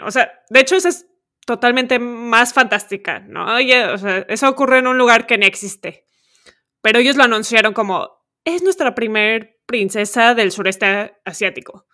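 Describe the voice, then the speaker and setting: A woman's voice: on the high side, pushed and bright. A woman hosting a podcast, chatting casually and thoughtfully, stacking up examples and sometimes trailing off.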